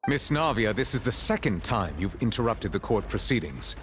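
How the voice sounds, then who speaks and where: Stern male magistrate for an anime game, lowish, grave, exacting formality. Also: big pitch swings, a little hiss or hum. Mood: stern, composed. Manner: formal, measured.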